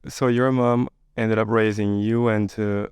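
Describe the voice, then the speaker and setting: A man hosting a podcast, asking curious, gently probing questions with some fillers. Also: lowish voice, little pitch movement, measured pace, clear, resonant tone, no room sound. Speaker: podcast host. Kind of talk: interview questions. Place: podcast studio.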